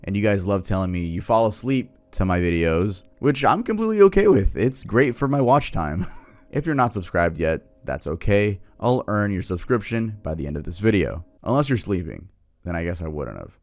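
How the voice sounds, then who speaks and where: Soothing man, low and animated, true crime narrator, dry close sound. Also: normal speed, dry recording. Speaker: YouTube host.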